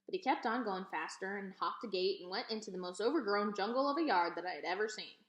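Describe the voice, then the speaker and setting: A woman reading a children's novel aloud in an expressive storytelling style. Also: medium pitch, fast. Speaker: read-aloud reader. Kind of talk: expressive children's book narration. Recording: echoey, roomy sound.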